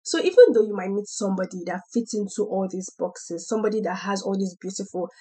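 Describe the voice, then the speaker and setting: A young woman hosting a faith podcast, talking in a chatty way with a hoarse voice and laughing between points. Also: medium pitch, fast talker, dark tone. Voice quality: husky. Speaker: podcast host. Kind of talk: faith-based casual podcast talk.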